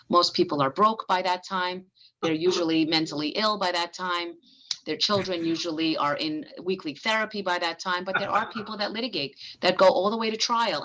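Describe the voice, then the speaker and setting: A woman family lawyer, giving a frank, brisk presentation to business owners. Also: fairly low pitch, fairly bright tone, rough recording.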